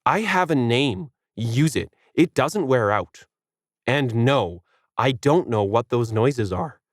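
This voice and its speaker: Man voicing a bossy talking female dog, pitched up. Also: animated, slow, no room sound, clean studio sound. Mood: bossy, annoyed. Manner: growling, barking out orders.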